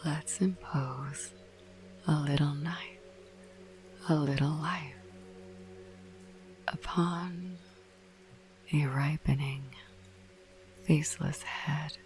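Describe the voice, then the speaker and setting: A woman narrating a bedtime ASMR podcast in a hushed, breathy whisper, soothing and unhurried. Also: low-pitched, fairly even intonation, soft background music. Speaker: host of a sleep podcast.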